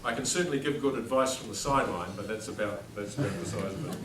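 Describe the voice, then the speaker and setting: A man's voice: fairly low pitch, fast talker. A middle-aged man with a New Zealand accent, a headmaster giving a humorous, self-deprecating after-dinner speech without a microphone at a formal dinner. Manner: self-deprecating, dry jokes, speaks without a microphone to a room. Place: large dining hall at a formal dinner. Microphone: no microphone, recorded from across the room. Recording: distant echoey hall with background noise.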